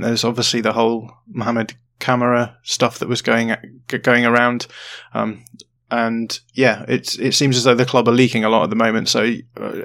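A man hosting a casual football podcast, measured and with a few fillers. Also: medium pitch, vocal fry, fairly bright tone. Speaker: podcast host.

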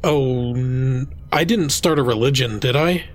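A man's voice: medium pitch, a little slow, lightly acted. A man playing a wry, apologetic dead time traveler in an audio drama, speaking hesitantly. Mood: wry exasperation.

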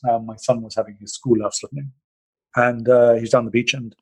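A man's voice: mid-range voice, fairly even intonation, brisk, husky, low-quality recording. A man with an Irish accent hosting a podcast in a rambling, joking, chatty way. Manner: rambling, chatty, jokey storyteller. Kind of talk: casual podcast chat.

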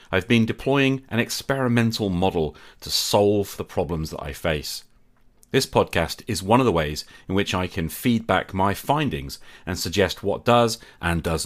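A middle-aged man hosting a solo podcast, reflective and candid with a wry, self-deprecating humour. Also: deep voice. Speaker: podcast host.

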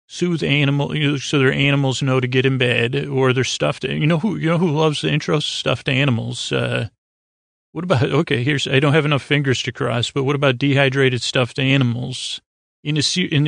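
Gravelly, meandering male podcast host. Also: mid-range voice, a bit bright, no echo, clean studio sound. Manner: meandering, stammering, keeps derailing himself. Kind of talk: rambling bedtime podcast monologue.

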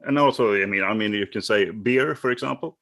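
Swedish man, halting casual English. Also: lowish voice, fairly bright tone. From Sweden. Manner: halting, many fillers and restarts, laughs often. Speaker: podcast host. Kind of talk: casual chat. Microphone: remote call.

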